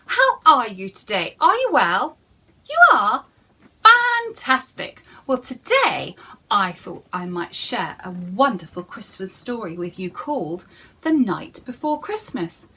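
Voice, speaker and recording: A woman's voice: mid-range voice, wildly swooping pitch, slow pace, pushed and bright, husky. A woman reading aloud as a children's storyteller, theatrical and speaking straight to young listeners. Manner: theatrical, addresses young listeners directly with questions.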